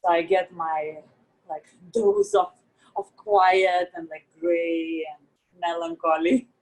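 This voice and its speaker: A woman in her thirties from Lithuania, chatting casually on a call from an office, animated and full of "like". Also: lowish voice, takes it slowly, poor audio. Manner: animated, laughing often, lots of "like". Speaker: artist in a collaboration. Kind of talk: casual video-call chat. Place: office in Manhattan.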